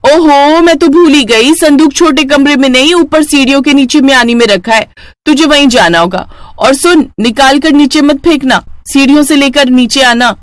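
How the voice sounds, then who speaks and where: A woman's voice: sits high, normal speed, no echo, voice pushed up, close to an everyday voice. A woman voicing a haughty, boastful rich elder sister who bosses people around in a storybook tale. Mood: bossy. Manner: boastful, ordering, dismissive.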